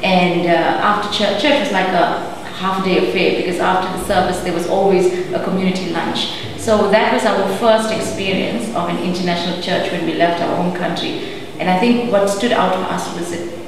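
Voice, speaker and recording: Brief: woman, forties, lowish voice, measured, recorded far off in an echoey room. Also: steady tone. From South Africa. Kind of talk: interview answers. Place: room indoors. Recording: echoey room, distant mic, hiss.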